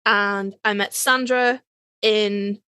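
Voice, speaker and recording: A woman's voice: medium pitch, steady tone, takes it slowly, bright, forceful tone, smooth, no room sound. A British woman co-hosting a podcast in casual chat, informal and sweary. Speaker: podcast co-host.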